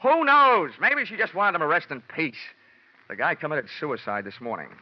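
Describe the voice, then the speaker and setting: An American man playing a wry, deadpan hardboiled insurance investigator in a 1950s-style radio detective drama. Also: fairly high pitch, normal speed, no echo. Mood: wry, defensive. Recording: muffled old radio broadcast.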